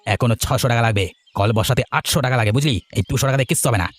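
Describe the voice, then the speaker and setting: Man acting a very fast-talking, greedy village bully. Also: mid-range voice, natural voice. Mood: scornful. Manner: brusque, repeats words impatiently. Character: rude greedy village handyman uncle, bullying and scornful. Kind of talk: Bengali cartoon voice acting.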